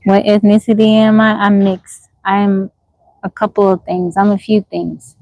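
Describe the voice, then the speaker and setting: A woman's voice: mid-range voice, little pitch movement, measured pace, a little mellow, dry recording, poor audio. A woman in her forties hosting a casual livestream from the woods, reading viewer comments and answering frankly. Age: 40s. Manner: frank, questioning, repeats herself. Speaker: livestream host. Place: outdoors in the woods.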